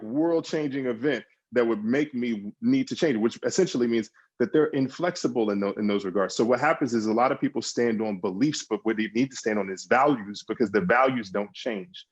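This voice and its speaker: A man's voice: medium pitch, fairly quick, husky, lo-fi sound. A man talking as a guest on a podcast, answering in emphatic bursts with repeated words and restarts. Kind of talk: conversational interview answers.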